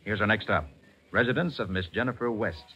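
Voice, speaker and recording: An actor playing a confident, earnest master detective, assured and persuasive, in a 1940s radio drama. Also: male voice, lowish voice, a little fast, bright, forceful tone. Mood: brisk, intrigued. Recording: muffled 1940s radio recording.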